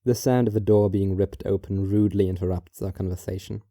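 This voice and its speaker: A man narrating a scripted first-person story in a measured, detached, formal manner. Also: sits low, fairly even intonation, warm tone, dry recording, studio quality.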